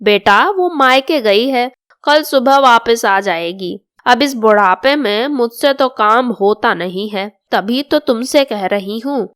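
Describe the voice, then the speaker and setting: A woman's voice: a little high, takes it slowly, few pauses, a bit bright, smooth, dry recording, clean studio sound. A woman voicing an older, bossy and easily offended mother-in-law in a storybook drama. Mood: pleading. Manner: scolding, complaining.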